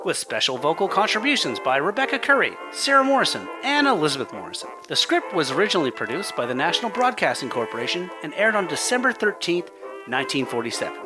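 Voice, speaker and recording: A man's voice: fairly high pitch, huge pitch swings, fast, poor audio. A man reading scripted credits as the announcer of a radio show. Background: music.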